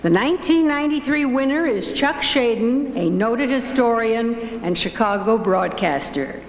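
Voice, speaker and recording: A woman's voice: fairly high pitch, animated, measured pace. Older woman presenting an award in a hall. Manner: stately, reading prepared remarks. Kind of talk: formal ceremony introduction. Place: ceremony hall stage. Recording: echoey hall, faint noise.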